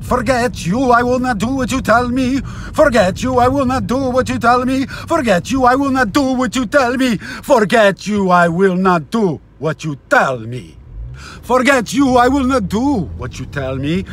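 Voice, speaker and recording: A middle-aged man giving a theatrical, ranting spoken recitation, erratic in delivery, moving between low muttering and shouting. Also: animated, moderate pace. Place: inside a moving car. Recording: noisy car interior with engine rumble.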